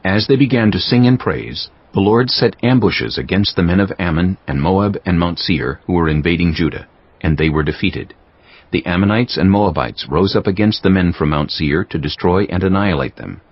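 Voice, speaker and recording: A man reading scripture as an audiobook narrator in a solemn, measured, scripted style. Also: low-pitched, breathy edge, dull audio.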